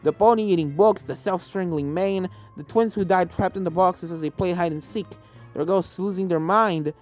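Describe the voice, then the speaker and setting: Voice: mid-range voice, normal speed, darkish tone, close to an everyday voice. Scholarly male storybook professor played by the reader, formal, explanatory, kindly yet serious, faint music behind. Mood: grave.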